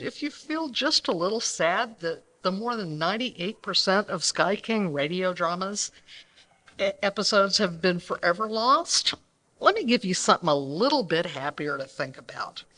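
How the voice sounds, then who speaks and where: A woman's voice: mid-range voice, sharp tone. A woman co-presenting a live show, speaking conversationally to the audience. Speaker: co-presenter introducing a live radio recreation. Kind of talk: live stage introduction. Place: banquet hall, live before an audience.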